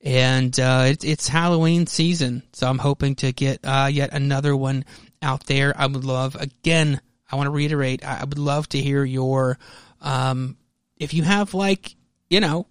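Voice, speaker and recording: A man hosts a casual solo podcast in a mid-pitched voice. He rambles in a halting way, doubling back to correct himself. The sound is close and dry, with hardly any room to it. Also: steady tone, smooth, no room sound. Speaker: podcast host.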